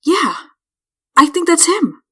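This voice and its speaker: An actress playing a sharp-tongued, foul-mouthed young princess in a comic dub, with a sarcastic way of talking. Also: female voice, very high voice, very animated, slow, exaggerated voice. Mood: gossipy. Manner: sarcastic, profane.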